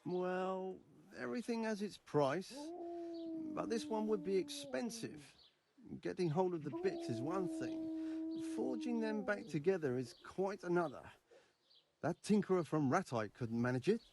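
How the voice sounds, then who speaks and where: A man's voice: high voice, big pitch swings, unhurried, a crowd in the background, heavily acted. An actor playing an eager, devout, grasping medieval craftsman in a video game, talking emphatically and persuasively. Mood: sly, eager. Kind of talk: video game dialogue acting.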